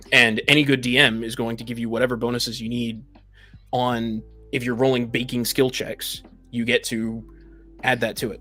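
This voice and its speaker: Man explaining haltingly over faint music. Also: mid-range voice, fairly bright tone. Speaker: game master and discussion panelist. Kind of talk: casual debate about tabletop roleplaying.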